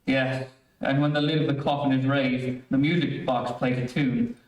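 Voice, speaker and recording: An actor playing a worried, earnest surgeon in a 1930s radio drama, with formal and urgent delivery. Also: male voice, medium pitch, level tone, normal speed, lightly acted. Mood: earnest, pleading. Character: worried, earnest male surgeon under death threat. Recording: roomy, distant-miked stage recording.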